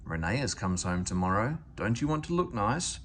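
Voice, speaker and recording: A man voicing a brisk, bossy young girl who scolds and teases in a storybook reading. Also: lowish voice, sing-song, a bit bright, a little hiss or hum. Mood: teasing. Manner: scolding, teasing.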